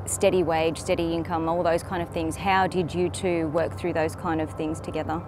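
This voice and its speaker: A middle-aged woman interviewing outdoors in a warm, affirming way, summing up her guest's points. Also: low-pitched, little pitch movement, moderate pace, background noise. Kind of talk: conversational interview. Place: outdoor terrace.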